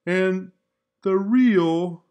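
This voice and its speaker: A man voicing a fond but worn-out, stubby blue crayon in a children's storybook, speaking in a drawn-out, weary way. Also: high-pitched, darkish tone. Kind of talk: children's picture book read-aloud.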